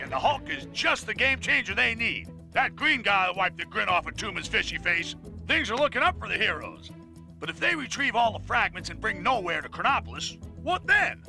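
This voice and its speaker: An actor voicing a cranky, opinionated news commentator in a video game, bombastic and sarcastic over music. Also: male voice, sits high, vocal fry, pushed and bright, heavily acted. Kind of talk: dramatic news broadcast commentary.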